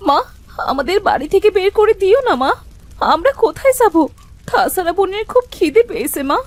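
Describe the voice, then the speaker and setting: A woman's voice: very high-pitched, expressive, fairly quick, dry recording. A woman voicing a timid little orphan girl in a cartoon, plaintive and childlike in her pleading. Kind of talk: Bengali cartoon voice acting.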